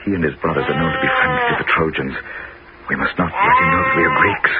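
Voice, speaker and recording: An actor playing a bold, commanding Greek hero who also narrates, in a 1970s radio drama. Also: male voice, very deep voice, wildly swooping pitch, fast, heavy background noise, full character voice. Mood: calm. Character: brave male Greek hero, commanding leader. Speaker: narrator and lead. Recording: dull vintage radio broadcast.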